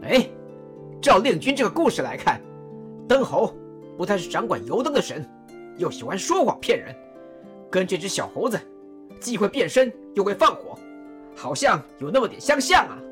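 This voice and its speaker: A performer voicing a shrewd male strategist who stays calm and soothing. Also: mid-range voice, moderate pace, pauses often, faint music behind, lightly acted. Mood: musing.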